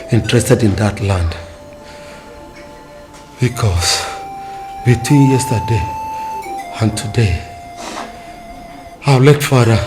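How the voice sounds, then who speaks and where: An actor playing a weary father who speaks solemnly of his coming death. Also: medium pitch. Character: older dying father, solemn and resigned.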